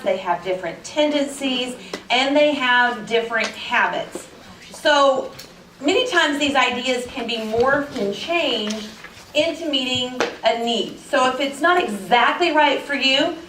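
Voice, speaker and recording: A woman's voice: fairly high pitch, noisy background. A woman, giving a friendly, chatty talk to parents as a professional organizer. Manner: friendly, chatty, says she is naturally loud. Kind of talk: casual presentation to parents. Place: school event room. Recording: echoey room from far off.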